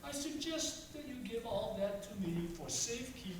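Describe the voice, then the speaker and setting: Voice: mid-range voice, animated, moderate pace, close to an everyday voice. An actor playing a sly, uneducated crook in a radio play, talking in folksy, ungrammatical tough talk. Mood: wheedling, menacing. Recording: roomy far-off mic, hissy echoey room.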